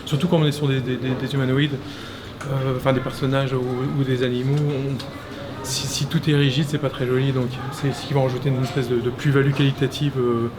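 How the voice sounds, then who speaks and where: A man giving a casual tour of an animation studio, chatty and quick to laugh, with lots of fillers and restarts. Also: mid-range voice, little pitch movement, noisy background. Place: animation studio offices, indoors.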